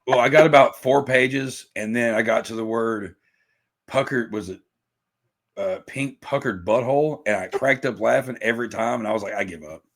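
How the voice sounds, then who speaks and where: A man's voice: mid-range voice, moderate pace. A man talking casually as a podcast guest, rambling and swearing, with many stammered restarts. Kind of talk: casual chat.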